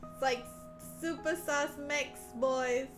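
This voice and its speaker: A woman's voice: high voice, fairly even intonation, slow. A woman hosting a casual live stream chat, talking to viewers in a playful, teasing, giggly manner. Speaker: livestream host. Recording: echoey room, music behind voice. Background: soft background music.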